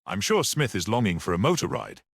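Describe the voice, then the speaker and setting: A man's voice: on the low side, very animated, a little fast, vocal fry, no echo, exaggerated voice. A man voicing a grim, coolly defiant storybook character with a curt, sinister politeness. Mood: impatient. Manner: curt, impatient, urging.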